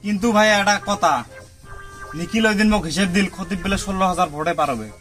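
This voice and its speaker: A man's voice: high-pitched, fast talker, over loud music, lightly acted. A man playing a credulous village go-between in a comedy skit, speaking a northern Bengali dialect. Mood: reporting.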